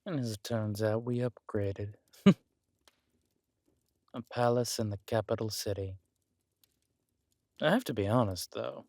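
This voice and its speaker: Villain husband , male actor: scheming noble usurper, slow aristocratic delivery, breathy asides, dry intimate roleplay sound. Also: lowish voice, a little mellow, no room sound. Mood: smug, musing. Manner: suave, aristocratic, deliberate, with breathy near-whispered endearments. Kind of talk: intimate roleplay audio drama acting.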